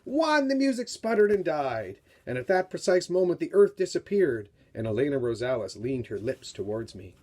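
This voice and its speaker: A middle-aged man giving an author's talk and read-aloud for young readers, telling it in an animated, dramatic storyteller's manner. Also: mid-range voice, moderate pace, hardly any pauses. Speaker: children's author reading from his book.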